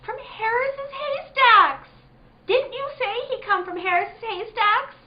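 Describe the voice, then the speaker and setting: A woman's voice: extremely high pitch, slow pace, slight background noise. An actress playing a wild-minded, childlike young woman haunted by ghosts, speaking rustic dialect in an eerie, repetitive chant in a radio play. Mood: horrified. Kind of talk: theatrical radio-play acting. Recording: dull, echoey lo-fi stage recording.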